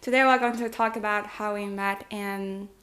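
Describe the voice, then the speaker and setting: A woman's voice: mid-range voice, fairly even intonation, moderate pace, a bit bright. A thirty-year-old woman from Taiwan with a Taiwanese accent, a native Mandarin speaker, hosting a casual vlog chat in a giggly, hesitant, conversational way. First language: Mandarin Chinese. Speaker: vlog host.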